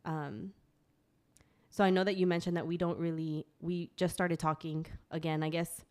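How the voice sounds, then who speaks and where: A woman's voice: fairly low pitch, fairly even intonation, normal speed, a little mellow. A woman, guesting on a casual podcast, talking frankly, swearing and laughing often. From Texas. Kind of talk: casual chat.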